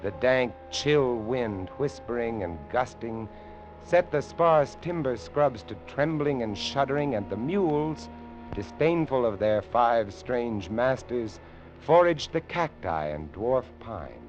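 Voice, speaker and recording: An actor playing an adventurer who narrates a grim expedition with dramatic, deliberate delivery, in 1940s radio drama style. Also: male voice, mid-range voice, expressive, a little mellow. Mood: hushed, tense. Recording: dull old radio recording, music behind.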